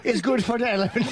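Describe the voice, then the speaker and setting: A man's voice: extremely high pitch, very noisy, full character voice. A man voicing a crude, gleefully mocking Jamaican woman with a put-on accent, cackling and taunting in broken pidgin for a radio comedy bit. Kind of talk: comic character bit on a radio show.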